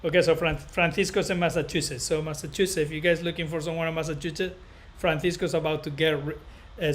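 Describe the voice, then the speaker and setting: Higher-voiced Spanish-accented man, rambling live chat. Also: slight background noise. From northern Spain. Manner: rambling, halting, repeats himself. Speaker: barber educator and live stream host. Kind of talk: casual live stream chat with viewers.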